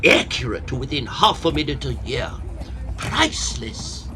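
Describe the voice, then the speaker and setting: An actor playing a fussy, self-pitying hypochondriac old Victorian professor in a comic audio drama, theatrical and pompous. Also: male voice, medium pitch, sing-song, moderate pace, sharp tone, a little hiss or hum. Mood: proud, boastful.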